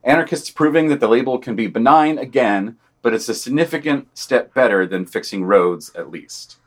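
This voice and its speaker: A man's voice: medium pitch, lively intonation, normal speed. A man co-hosting a podcast, reading scripted news commentary aloud in a wry, sardonic manner. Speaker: podcast co-host.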